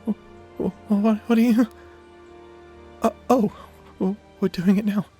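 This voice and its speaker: A man's voice: sits high, fairly even intonation, a little slow, dark tone, breathy edge, faint music behind. A man playing a shy, flustered young man in love, stammering and hesitant in a dramatic fantasy audio roleplay. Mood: giddy, flustered.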